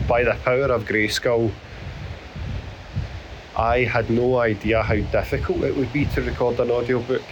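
A middle-aged Scottish man with a hoarse voice, hosting his podcast as a rambling spoken diary while walking on a beach. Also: medium pitch. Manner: rambling, self-deprecating, halting. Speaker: podcast host. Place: outdoors on a beach. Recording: outdoor recording with clear background noise.

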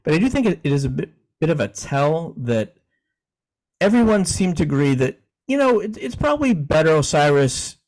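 A man's voice: on the high side, big pitch swings, moderate pace, a little mellow, rough recording. Easygoing man chatting on a podcast. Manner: easygoing, chuckling, frequent restarts. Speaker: podcast co-host. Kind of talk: casual chat, reading definitions aloud.

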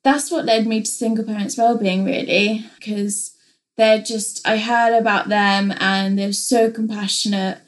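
A woman's voice: medium pitch, fairly even intonation, measured pace. A young woman from England, co-hosting a podcast in a casual, open, chatty way with many fillers. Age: young adult. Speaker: podcast co-host.

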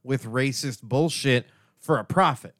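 A man's voice: medium pitch, a little slow, a bit bright, no room sound, studio quality. A man in his forties hosting a casual podcast chat, ranting heatedly and swearing often. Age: 40s. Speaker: podcast host.

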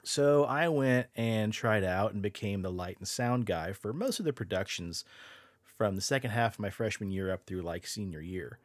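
A man's voice: on the low side, animated, moderate pace, no echo. A young man co-hosting a podcast, telling a long story in a loose, casual way, laughing and swearing as he goes. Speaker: podcast co-host.

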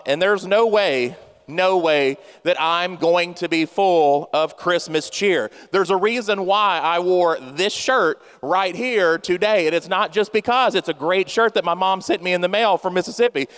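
A man's voice: high-pitched, a little slow, fairly bright tone, clear, resonant tone. A man in his thirties preaching a humorous sermon, playful and self-mocking, talking straight to his congregation. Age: 30s. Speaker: pastor. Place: church sanctuary. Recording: long church hall reverb.

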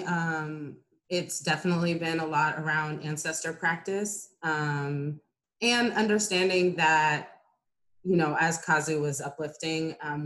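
A woman speaking reflectively as a panelist, pausing often with "um". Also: low voice, fairly bright tone. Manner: reflective, many "um" pauses. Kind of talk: panel discussion.

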